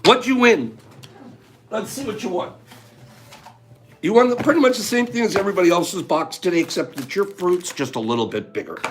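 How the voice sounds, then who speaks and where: A man's voice: high-pitched, expressive, brisk, long pauses, a little hiss or hum. A man hosting a casual livestream, chatting with viewers and running giveaways in a playful, teasing way. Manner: playful, teasing, talks straight to chat. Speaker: livestream host.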